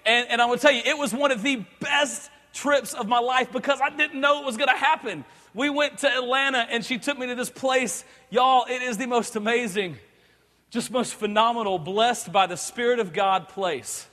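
A man, preaching an excitable, joking sermon and often stammering and repeating words. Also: extremely high pitch, normal speed, big-room sound. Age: 30s. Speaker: pastor. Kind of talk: energetic sermon. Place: large church hall.

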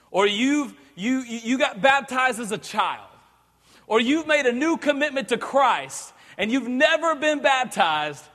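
A pastor in his thirties preaches with a high, bright, forceful voice. He jokes, stammers and repeats words as he builds energy. The sound rings with long reverb from a large hall. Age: 30s. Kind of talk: energetic sermon.

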